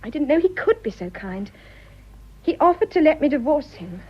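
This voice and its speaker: An actress playing a torn, passionate young English wife in a radio drama, earnest and emotional, with a British accent. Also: female voice, sits high, sing-song, moderate pace. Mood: torn, pleading. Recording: dull, muffled radio drama audio.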